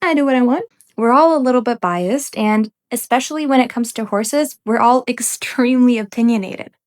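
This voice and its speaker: A woman's voice: a little high, moderate pace. A young woman hosting her podcast, ranting bluntly and without a script, her voice a little off from a cold. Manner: emphatic and blunt, with occasional laughs. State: slightly congested from a cold. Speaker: podcast host. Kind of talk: unscripted ranting commentary.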